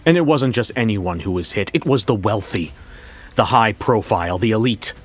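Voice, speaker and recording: A low-pitched male actor as a wry office man telling his own story in an audio drama. He is reflective and conversational, measuring out his account over a music bed. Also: animated, moderate pace, slightly rough. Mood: ominous, reflective. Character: male memory bank operations coordinator, wry, reflective storyteller. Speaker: narrator. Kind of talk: first-person scripted audio fiction narration.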